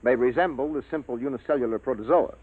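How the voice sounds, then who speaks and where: A man's voice: medium pitch, fast talker, slightly rough, natural voice. An actor playing an analytical male science officer in a 1950s radio drama, explaining things matter-of-factly. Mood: grave, explanatory. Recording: dry, tinny vintage radio recording.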